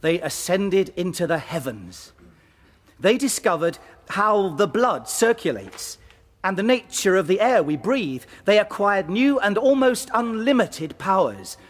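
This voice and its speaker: A man playing an earnest, eloquent professor giving a measured, reverent lecture in an audiobook drama. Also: sits high, lively intonation. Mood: reverent, stirring.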